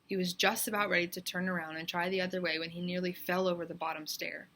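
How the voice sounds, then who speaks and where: A woman narrates a children's story like a teacher reading aloud. Her voice sits a little low but sounds bright and forceful. The delivery is expressive, deliberate and suspenseful. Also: slight background noise.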